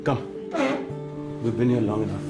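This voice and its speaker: An actor playing a streetwise, grave local fixer who explains things tersely and matter-of-factly in an audio drama. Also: male voice, on the low side, steady tone, moderate pace, loud music behind the voice, close to an everyday voice. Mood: grave, explaining.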